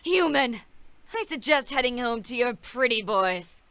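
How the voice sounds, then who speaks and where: A woman's voice: on the high side, lively intonation, measured pace, fairly bright tone, smooth, dry recording. A woman voicing a cold, powerful female devil who talks down to everyone. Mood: mocking. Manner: taunting, condescending.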